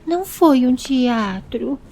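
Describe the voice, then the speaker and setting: A woman's voice: sits high, expressive, slow pace, a little mellow, exaggerated voice. A woman voicing a nervous, earnest young boy in an audio story, plaintive and apologetic. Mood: plaintive, defensive.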